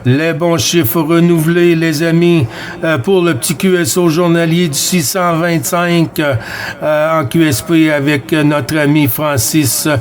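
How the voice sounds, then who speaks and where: A man chatting as a CB radio operator, giving friendly greetings and sign-offs in a halting, hesitant way. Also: on the high side, steady tone. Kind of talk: radio contact chat, exchanging sign-offs.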